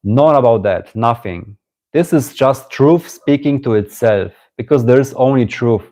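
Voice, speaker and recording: A man with a German accent giving a live-streamed spiritual talk, musing slowly, repeating phrases and greeting viewers as they join. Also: mid-range voice, dark tone. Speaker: spiritual teacher.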